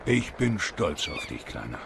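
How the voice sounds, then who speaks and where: An actor voicing a gruff, proud old mentor car in an animated film dub, terse and wry with a paternal manner. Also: male voice, low voice, measured pace, full character voice. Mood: wry, approving. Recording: faint background noise.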